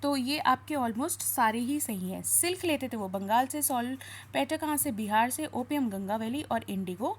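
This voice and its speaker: Indian lady tutor, pretty high voice, going thru exam q and a, explains stuff and reads bits out. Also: animated. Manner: instructive, switching between spoken explanation and slower reading aloud. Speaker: exam coaching teacher. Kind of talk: question-and-answer history lesson.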